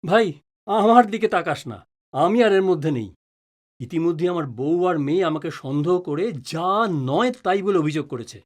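Earnest, put-upon middle-aged family man played by an actor, fairly high voice, very expressive pitch, audio drama. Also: normal speed, lightly acted. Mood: aggrieved, wary.

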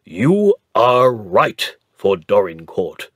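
A man's voice: fairly low pitch, huge pitch swings, extremely slow, a bit bright, no echo, clean studio sound, exaggerated voice. A man reading as a stern old earl, curt and firm. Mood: tender. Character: stern old earl softening toward his grandson.

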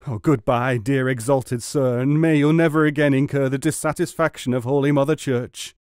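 A man's voice: mid-range voice, steady tone, normal speed, a little mellow, clear, resonant tone, no room sound, studio quality, lightly acted. A man voicing a gullible, bad-tempered rustic muleteer in a storybook, exclaiming and stammering. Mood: awed, grovelling. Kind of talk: storybook character reading.

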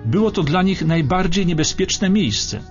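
Higher-pitched male documentary narrator over music. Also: animated, measured pace, muffled recording. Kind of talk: scripted historical documentary narration. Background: clear background music.

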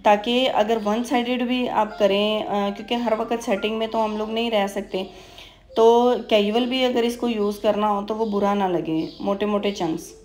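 Even-toned woman giving a tutorial. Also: medium pitch, moderate pace. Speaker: beautician giving a hair tutorial. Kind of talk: instructional tutorial voice-over.

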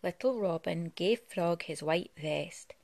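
Low-voiced woman reading a children's storybook. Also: slow, gravelly, darkish tone, no echo. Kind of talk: read-aloud children's storytelling. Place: living room at home.

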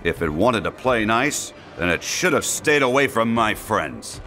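A man voicing a stern, guarded space ranger toy in a video game, speaking in a serious, heroic, deliberate manner. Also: lowish voice, big pitch swings, sharp tone, faint music behind, exaggerated voice. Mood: grim. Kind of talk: animated video game acting.

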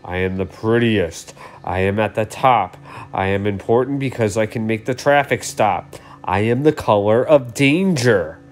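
A man's voice: fairly low pitch, a little slow, soft background music, natural voice. A man voicing a boastful, vain female red traffic light in a children's storybook, making self-important declarations. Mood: insistent.

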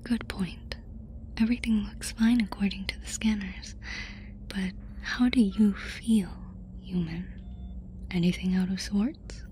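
A woman playing a curious, doting alien fascinated by humans in an ASMR roleplay, whispering softly and breathily in a casual, affectionate way. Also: medium pitch, steady tone, measured pace, a little hiss or hum, lightly acted. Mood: friendly, eager.

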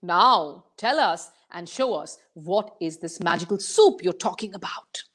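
A woman's voice: fairly low pitch, lively intonation, measured pace, fairly bright tone, slightly rough, heavily acted. A woman voicing an old village man who challenges the traveler. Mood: challenging. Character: old village man handing over a pot.

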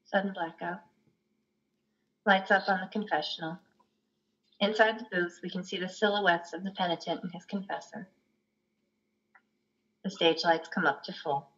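A woman's voice: lowish voice, steady tone, moderate pace, pauses often, a bit bright. A middle-aged woman narrating a play, reading stage directions over a video call. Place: lit room at home. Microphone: video call, computer microphone. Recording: dull video call audio.

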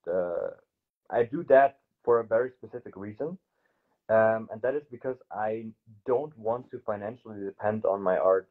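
German-accented young man on a tinny call. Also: on the low side. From South Tyrol, Italy. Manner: hesitant, many fillers and restarts. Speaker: artist guest. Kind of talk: casual interview over video call. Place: small indoor room, sofa. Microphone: video call. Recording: phone-like narrow audio.

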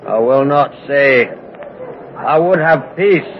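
Slow, stubborn medieval peasant. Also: male voice, medium pitch, animated. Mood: dogged, weary. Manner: terse, cagey, archaic rustic phrasing. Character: stubborn, wary medieval peasant farmer from Northumberland. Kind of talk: 1950s radio drama acting. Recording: dull, noisy vintage radio recording.

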